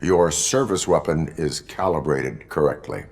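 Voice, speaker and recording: A man voicing a stoic cyborg police officer in a video game, with stiff, formal, deadpan delivery. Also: rumbling low, a little slow. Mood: flat, dutiful.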